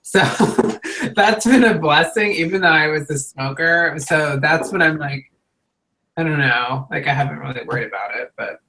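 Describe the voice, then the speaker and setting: A woman's voice: sits low, normal speed, pushed and bright, rough recording. A woman co-hosting a casual video-call chat, telling stories in a chatty way and laughing often. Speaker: co-host.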